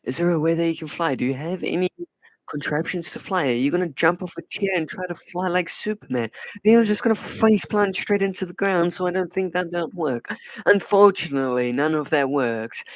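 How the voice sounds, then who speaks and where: Guy streaming and teasing his chat, soft voice pitched kinda high, dry close sound. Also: fairly quick, dry recording. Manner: playful, teasing, answers chat; soft, light put-on voice. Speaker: livestream host. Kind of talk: casual live chat with viewers.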